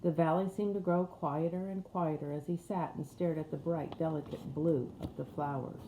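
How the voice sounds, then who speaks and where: A woman's voice: deep voice, steady tone, normal speed. A woman reading a storybook aloud as scripted narration. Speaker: audiobook reader. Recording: roomy echo with faint background noise.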